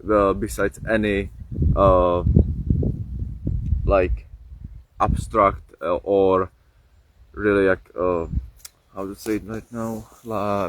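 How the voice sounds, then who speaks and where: A young man speaking English as a second language with a Czech accent, talking casually on a livestream, halting with many fillers and restarts. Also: fairly low pitch, slight background noise, rough recording. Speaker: livestream host.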